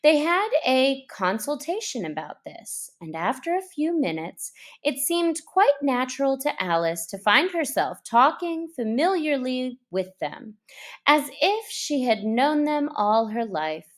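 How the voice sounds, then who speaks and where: A woman reading a children's story aloud as a narrator, in an expressive and deliberate way. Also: high voice. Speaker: storybook reader.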